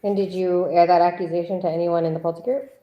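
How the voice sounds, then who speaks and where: A woman reading a deposition transcript as a persistent attorney who needles a witness and repeats questions deliberately. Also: fairly low pitch, level tone, lo-fi sound, lightly acted. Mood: probing. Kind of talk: read-aloud deposition transcript.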